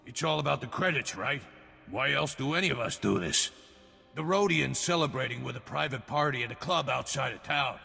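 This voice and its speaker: An actor playing a rough hired thug and former pirate in a video game, talking in a colloquial, grumbling way. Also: male voice, medium pitch, expressive, brisk, bright, forceful tone, in a large hall, soft background music, heavily acted. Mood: cowed, eager.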